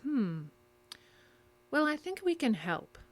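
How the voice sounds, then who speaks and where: Cryptic, composed, lilting interrogator. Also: female voice, high voice, big pitch swings, full character voice. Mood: probing. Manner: measured, controlled, evasive. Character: cryptic, composed female interrogator offering help. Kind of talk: horror audio drama acting.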